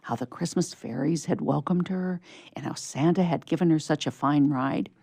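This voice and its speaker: Low, mellow woman reading a children's story. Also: normal speed, vocal fry, no echo, clean studio sound. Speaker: story reader. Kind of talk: scripted children's story narration read aloud.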